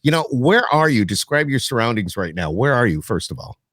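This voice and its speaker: A man's voice: medium pitch, sing-song, a little fast, a bit bright, no echo, clean studio sound. A man hosting a podcast, interviewing guests in a casual, chatty style. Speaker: podcast host.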